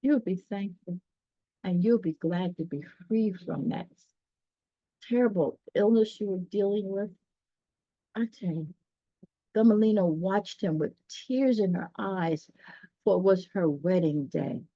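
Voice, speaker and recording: A woman's voice: medium pitch, unhurried, pauses often, no room sound, muffled recording. A woman reading a children's story aloud, explaining it in chatty asides and speaking directly to listeners.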